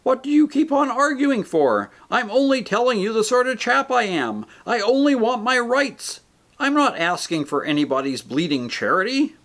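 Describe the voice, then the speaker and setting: A man's voice: very high voice, big pitch swings, moderate pace, fairly bright tone, voice pushed up, exaggerated voice. A man voicing a coarse, self-righteous male ghost who talks in blunt, indignant working-man speech.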